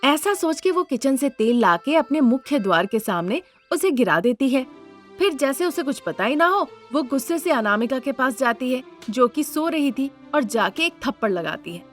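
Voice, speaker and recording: Woman storyteller for cartoons, high voice, scripted narration, soft music behind. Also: sing-song, moderate pace.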